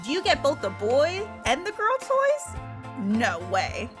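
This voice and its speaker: A peppy female commercial announcer, gushing with salesy cheer. The voice sits high and bounces around dramatically, over a prominent music bed. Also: huge pitch swings, slow pace, heavily acted. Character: chirpy female fast-food commercial announcer.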